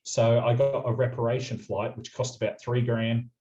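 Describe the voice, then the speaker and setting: A man's voice: mid-range voice, level tone, crowd noise. A young Australian man, a radio presenter, telling travel stories in a chatty, anecdotal way. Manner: quick anecdotal storyteller, chatty. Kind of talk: casual storytelling interview. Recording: muffled lo-fi audio.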